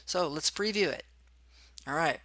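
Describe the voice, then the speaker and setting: Hoarse guy teaching a screen tutorial, rambly with lots of uhs, gravelly and kinda bright. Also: on the high side, a little slow, dry recording. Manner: casual, rambling with many fillers. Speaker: machining instructor.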